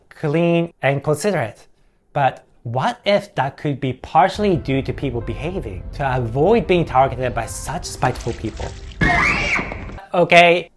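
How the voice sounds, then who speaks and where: A young man with a Japanese accent giving sarcastic, jokey scripted commentary, with sudden loud outbursts. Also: a little high. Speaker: YouTube commentator.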